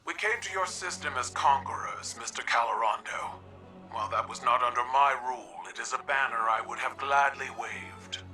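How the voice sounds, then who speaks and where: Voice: medium pitch, moderate pace, bright, forceful tone, far from the mic, soft background music, lightly acted. A performer playing a proud alien warrior empress, cold and haughty, with formal phrasing in a video game. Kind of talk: video game character acting.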